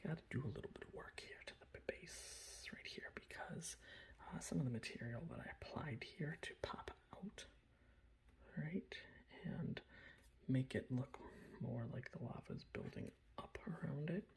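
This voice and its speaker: A man speaking in a breathy whisper, presenting his hobby in a casual, rambling ASMR style. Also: moderate pace. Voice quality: breathy whisper with soft low speech. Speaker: hobbyist miniature painter presenting his collection. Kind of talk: whispered ASMR show-and-tell.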